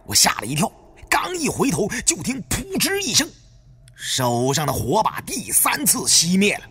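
Very animated male audiobook narrator. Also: high voice, moderate pace, soft background music. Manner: storyteller's expressive delivery. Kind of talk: dramatic scripted first-person narration.

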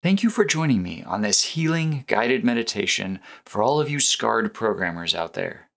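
A man narrating a guided meditation in a slow, soothing, measured and earnest way. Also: fairly low pitch, very animated, gravelly, a bit bright. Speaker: meditation guide, programming teacher.

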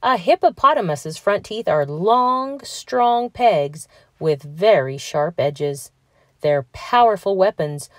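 Expressive woman reading aloud for children. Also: lowish voice, no room sound, clean studio sound. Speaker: children's read-aloud narrator. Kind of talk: scripted storybook narration for children.

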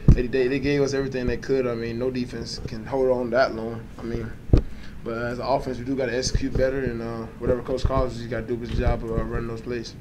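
A young man in his twenties, a college quarterback answering post-game press questions in a halting, soft-spoken way with many fillers. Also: mid-range voice, flat delivery, background noise. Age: 20s. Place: press conference room.

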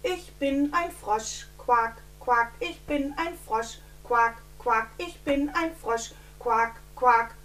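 An older woman voicing a curious, excitable little frog in singsong, exclaiming interactive storytelling for children. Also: fairly high pitch, slow pace, hardly any pauses. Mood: singsong, playful. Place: indoors, seated before a painted backdrop. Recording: slightly distant from the mic.

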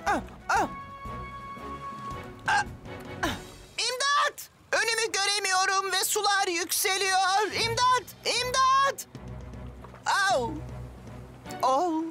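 A woman's voice: extremely high pitch, takes it slowly, long pauses, faint music behind, full character voice. A greedy cartoon boy. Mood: scared. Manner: excited, whiny kid voice. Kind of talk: Turkish cartoon dub.

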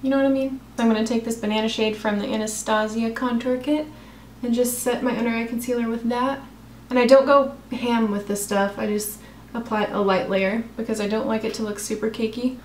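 A young woman with a somewhat high, slightly raspy voice talks through a makeup tutorial in a casual, self-deprecating way. She is recorded by a camera close in front of her in a small room at home. The sound is roomy with a faint hum of noise behind. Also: flat delivery, brisk, darkish tone. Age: young adult. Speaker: makeup vlogger. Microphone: camera close in front of face.

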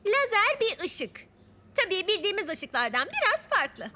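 A woman voicing a bright, confident young girl who explains things in a children's cartoon. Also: very high voice, big pitch swings, measured pace, exaggerated voice. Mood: explaining. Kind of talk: children's cartoon dub acting. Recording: music under the voice.

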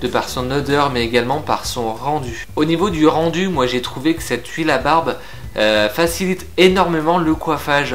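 A young man from France reviewing beard grooming products to camera in a frank, lively, casual way. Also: mid-range voice. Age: young adult. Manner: lively, frank, chatty with filler pauses. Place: indoor room with shelves of figurines.

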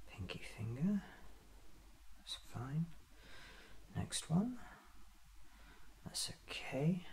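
A man's voice: medium pitch, a little hiss or hum. A man performing a whispered ASMR roleplay, murmuring methodically to himself as an eccentric doctor examining his own body.

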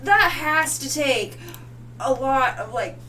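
A woman's voice: high-pitched, animated, slow, bright, forceful tone. A woman in her thirties chatting casually and emphatically as she co-hosts a reaction video at home. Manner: gushing, emphatic. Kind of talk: casual chat, music reaction. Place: small home room, indoors. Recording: distant mic, faint background hum.

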